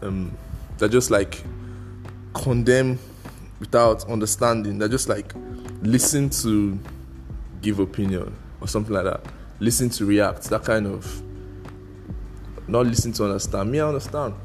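Low-voiced young Nigerian man rambling. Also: unhurried, pauses often, faint music behind. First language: Igbo. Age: young adult. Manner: loose and rambling, mixing slang and pidgin. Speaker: podcast host. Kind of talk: casual solo podcast monologue.

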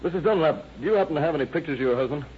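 An actor playing a keen deputy sheriff in a 1930s radio police drama, plain-spoken and slangy. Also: male voice, on the high side, animated, racing, natural voice. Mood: eager. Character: keen, hunch-following male deputy sheriff. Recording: dull, lightly noisy old radio recording.

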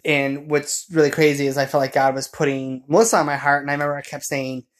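A man telling a personal story in casual conversation, in a rambling, halting way, often correcting himself as he goes. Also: a little high, flat delivery.

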